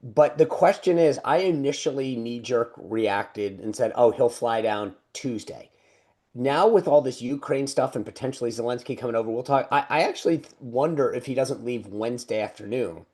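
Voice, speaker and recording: A man's voice: medium pitch, normal speed, darkish tone. Man, middle-aged, podcast co-host, casual political talk, chatty, frequent restarts. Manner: chatty, full of restarts and laughs.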